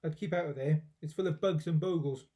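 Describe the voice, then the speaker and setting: A man voicing an excitable, concerned young grandson in a storybook, calling out urgently. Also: on the high side, a little fast, close to an everyday voice. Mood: pleading. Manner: exclamatory, urgent.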